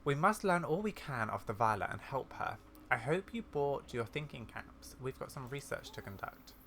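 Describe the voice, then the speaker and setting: Gamer doing kindly older witch lady from a game, genteel n musing, bright, bit of noise. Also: medium pitch, big pitch swings, moderate pace. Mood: brisk. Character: kindly, chatty, scholarly older witch woman. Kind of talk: video game dialogue read aloud.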